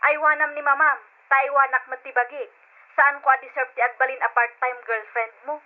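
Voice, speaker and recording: A woman's voice: high-pitched, level tone, normal speed, close to an everyday voice. An actress playing a hurt, fed-up girlfriend in an old radio drama, speaking with reproach. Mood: hurt, reproachful. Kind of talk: old-time radio drama acting in Ilocano. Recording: thin phone-like radio drama sound.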